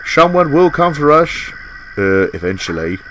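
A man's voice: on the high side, big pitch swings, moderate pace, a bit bright, slight background noise, pitched above the performer's own voice. A man voicing a small, upbeat, practical tank engine who talks in a chipper, matter-of-fact way in a children's story. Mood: pleading.

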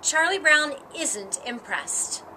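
A middle-aged woman reads a picture book aloud to children. Her voice is high and bright, with an expressive, swooping delivery. Background noise is audible around her, outdoors. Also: takes it slowly, poor audio. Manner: animated, acts out the dialogue. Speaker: storytime reader. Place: outdoor patio with lattice and ivy.

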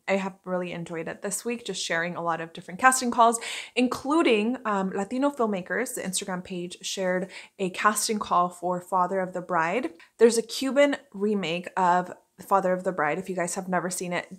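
A woman's voice: mid-range voice, a bit bright. A young adult woman chatting informally to camera in a casual vlog style, explaining things as she goes. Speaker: vlogger, actor. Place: small furnished bedroom, indoors.

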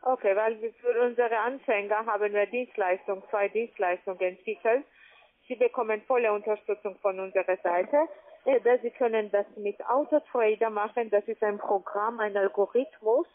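A woman who is not a native speaker, giving a formal, halting telephone sales pitch. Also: fairly even intonation. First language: not German. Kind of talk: sales pitch on a cold call. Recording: tinny, narrow phone line.

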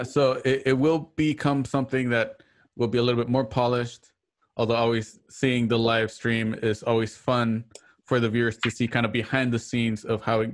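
A man hosting an online radio drama show, chatting casually as he signs off on a livestream. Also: medium pitch. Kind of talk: casual livestream sign-off chat. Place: indoors at home on a video call.

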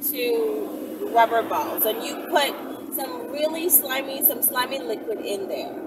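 A woman's voice: sits high, very animated, takes it slowly. A young adult woman giving casual, emphatic instructional chat to camera from inside a moving car, repeating phrases and checking in with the listener. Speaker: vlog host answering viewer questions. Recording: distant mic, loud car road noise.

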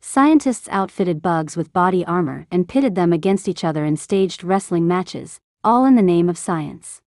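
A woman's voice: lowish voice, animated, moderate pace, a little mellow, no echo, studio quality. A woman reading scripted practice passages aloud. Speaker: reader of practice test passages.

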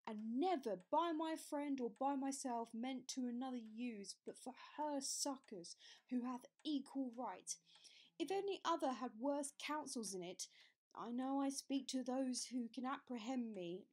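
A woman playing an earnest, dignified gallant who moralises in measured verse in an early modern audio drama. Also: on the high side, a little mellow. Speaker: amateur actor.

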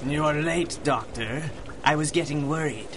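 An actor playing a vengeful masked supervillain in a radio drama, coldly gloating with menacing, mock-polite manners. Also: male voice, fairly high pitch, a little slow, background noise, natural voice. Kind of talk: 1930s-style radio drama acting.

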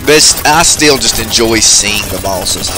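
A man's voice: lowish voice, expressive, unhurried, low-quality recording. A man giving casual, off-the-cuff commentary as he goes through a video game. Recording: game music behind the voice. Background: video game music.

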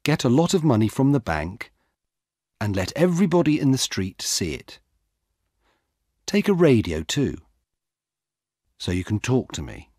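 A man voicing an earnest, methodical male police officer in a storybook, speaking in a deliberate, instructing manner. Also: medium pitch, very animated, a little mellow, husky, no room sound, clean studio sound. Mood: planning, instructing. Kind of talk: audiobook character dialogue.

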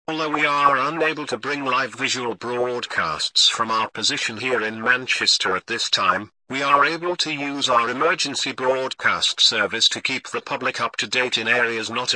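A man playing a calm, formal television emergency news announcer, reading a scripted bulletin in a measured way. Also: medium pitch, no echo, rough recording. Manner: formal, measured, read-out delivery.

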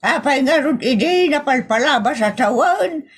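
A sassy, stubborn grown child with an Ilocano accent , acted by a woman in a comedy drama. The voice sits high. The delivery is defiant and emphatic, full of exclamations. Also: animated, moderate pace.

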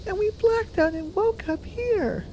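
Squeaky hen, very high voice, earnest, radio-play cartoon character, acted by a man. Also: a little slow, a little mellow, slight background noise. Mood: alarmed, confused.

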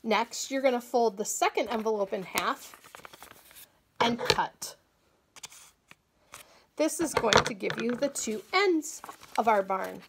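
A woman with a fairly high, light voice walks through a table-top craft one step at a time, clear and patient. She is recorded in a small indoor room with faint hiss behind her. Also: lively intonation, takes it slowly, pauses often. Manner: clear, patient instructional delivery. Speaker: agriculture educator.

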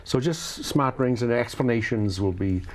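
A man speaking as a festival organizer guest on a local talk show, halting and full of fillers. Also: medium pitch. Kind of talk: casual chat.

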